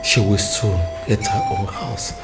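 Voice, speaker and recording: A man's voice: on the low side, fairly even intonation, a little slow, heavy background noise, close to an everyday voice. An actor playing a weary father who speaks solemnly of his coming death. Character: older dying father, solemn and resigned.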